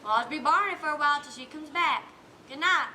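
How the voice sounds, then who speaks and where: A young actress as a bright, gruff backwoods farm wife. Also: female voice, sits high, fast. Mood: firm, wry. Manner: blunt, rustic ungrammatical speech. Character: gruff, hard-bitten backwoods farm wife living alone. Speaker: student actor in a one-act play. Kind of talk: projected stage acting. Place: indoor stage, recorded live. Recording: roomy stage recording, faint background noise.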